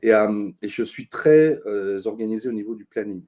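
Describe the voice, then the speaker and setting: Mellow-voiced man giving advice. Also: on the low side, moderate pace. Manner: thoughtful, many fillers and restarts, earnest advice-giving. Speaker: interview guest, business consultant. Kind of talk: casual interview talk.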